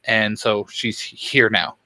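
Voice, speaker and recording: A man co-hosting a casual geeky chat, talking in a halting way as he thinks aloud. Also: on the low side, little pitch movement, sharp tone, dry recording. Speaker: co-host.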